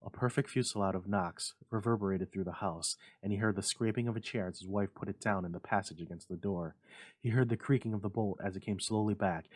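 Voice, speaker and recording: Low-voiced man narrating a suspenseful story. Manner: suspenseful, theatrical. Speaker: library staff storyteller. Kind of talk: dramatic scripted story narration.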